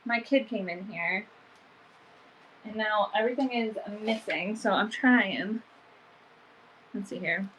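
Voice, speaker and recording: A woman with stuffy allergies talks loosely and chattily as she hosts a live vintage sale from home. Her voice sits at an ordinary mid pitch, and her sentences ramble along, filled with fillers. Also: slow pace, pauses often, a bit bright, a little hiss or hum, poor audio. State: sniffly from allergies. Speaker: online vintage seller. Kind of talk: casual live-sale chat.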